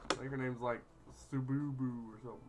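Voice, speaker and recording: A woman's voice: rumbling low, fairly even intonation, quick pace. A woman giving casual, crude and mocking commentary on a visual novel playthrough. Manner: crude, mocking, heavy swearing. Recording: noticeable background noise.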